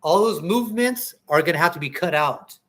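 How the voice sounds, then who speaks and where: A man's voice: on the high side, lively intonation, fairly quick, a bit bright. A man hosting a livestream, chatting casually about boxing and talking straight to his viewers. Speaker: livestream host.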